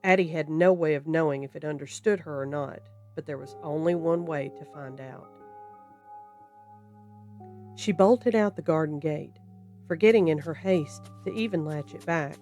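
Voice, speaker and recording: Horror story narrator, mid pitch, measured suspenseful delivery, music playing behind. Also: a little mellow. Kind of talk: scripted dramatic storytelling narration.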